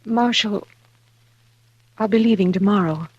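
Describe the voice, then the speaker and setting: A woman's voice: medium pitch, unhurried, husky, no echo. An actress playing a proud, loyal frontier woman in a 1950s radio western, with a restrained, polite and dignified manner. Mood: quietly grateful. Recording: dull, dry vintage radio recording.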